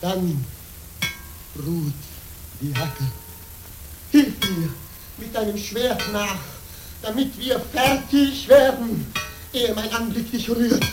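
A man playing a resolute, grey-haired old monk in a radio play, speaking in a solemn, archaic, measured manner. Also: high voice, music in the background, low-quality recording. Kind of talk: German radio play acting.

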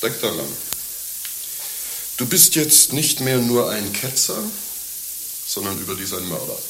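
A man's voice: on the low side, sing-song, a little slow, a bit bright, full character voice. A man playing a mocking, mercenary servant in a satirical radio play, lecturing his friend with crude, pedantic condescension. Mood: scolding, scheming. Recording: roomy, with faint hiss.

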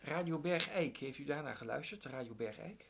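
A man's voice: fairly high pitch, animated, moderate pace, vocal fry, a little hiss or hum. A man asking interview questions politely, with hesitant, searching phrasing.

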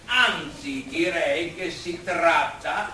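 Actor as hesitant learned gentleman, echoey and distant. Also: on the high side, very slow, full character voice. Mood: explanatory. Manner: hesitant, explanatory, formal. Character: polite, cautious educated man, a non-medical doctor. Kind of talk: live stage comedy-drama acting. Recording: distant, echoey theatre recording with noise.

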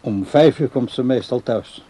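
A tender but stubborn ageing man with a warm, dark voice, played by an actor. He is easily fed up, in the manner of a radio play character. The recording carries faint background noise. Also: mid-range voice, unhurried, lightly acted. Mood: placating, evasive. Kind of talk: Dutch radio play acting.

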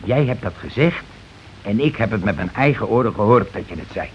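Man acting a blustering, scheming husband, swinging pitch. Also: expressive, fairly quick, close to an everyday voice. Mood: scheming, persuasive. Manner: blustering, colloquial, repetitive, insistent. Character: scheming, domineering, self-justifying working-class husband and landlord. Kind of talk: Dutch radio play acting. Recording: dull, noisy old radio recording.

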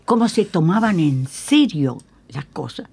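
A lowish-pitched older woman with a Uruguayan Spanish accent looks back on her working life in a radio interview. She tells it emphatically and in halting pieces, repeating phrases for weight and tagging them with little questions. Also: animated, a little mellow, husky. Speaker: guest, veteran radio actress. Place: radio studio.

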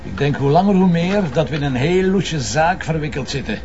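Sceptical Flemish commissioner, slightly high voice, colloquial, probing, crime drama, muffled with faint noise. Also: male voice, animated, brisk, darkish tone. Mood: brisk, musing. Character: shrewd, sceptical male police commissioner. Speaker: actor. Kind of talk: naturalistic crime drama acting.

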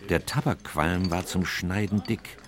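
An actor playing a loyal, worried Victorian gentleman who recounts events in an earnest, measured way for a radio drama. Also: deep voice, noisy background. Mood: uneasy narration. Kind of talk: radio drama narration and dialogue. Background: music.

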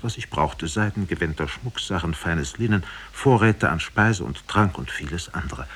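A man's voice: sits low, breathy edge, exaggerated voice. A man voicing a lonely captive princess who speaks formally and earnestly in a 1950s radio play. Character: lonely captive young princess, courteous and sad. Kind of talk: 1950s German radio drama storytelling.

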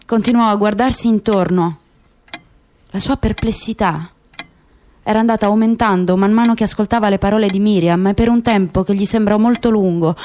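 A woman's voice: mid-range voice, darkish tone. A woman narrating a literary radio drama as an actress reading a story. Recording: faint background noise.

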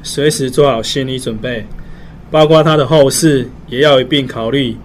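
A man's voice: medium pitch, moderate pace, a little hiss or hum, close to an everyday voice. An actor playing a cold, stern older male chief physician in a radio drama. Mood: cold, grave.

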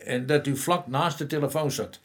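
An actor playing an easygoing, down-to-earth junior police detective in a radio drama, informal and matter-of-fact. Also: male voice, a little high, normal speed, lightly acted. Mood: polite, tentative. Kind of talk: naturalistic Dutch radio drama acting.

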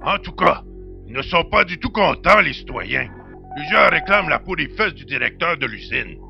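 A man playing a cantankerous, piratical ship's computer with a holographic body in a French audio drama, gravelly and theatrical, with grumbling old-fashioned oaths. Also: fairly high pitch, sing-song, moderate pace, faint music behind, full character voice. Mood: grumbling, wary. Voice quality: gravelly, creaky. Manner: theatrical, grumbling, archaic oaths, calls commander "boy". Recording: slightly muffled amateur audio drama recording.

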